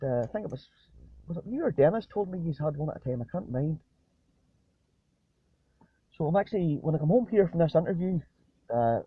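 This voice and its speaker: Husky man over tinny radio. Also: a little high, no room sound. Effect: two-way radio transmission. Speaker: amateur radio operator. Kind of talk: casual two-way radio chat. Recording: tinny narrowband ham radio link.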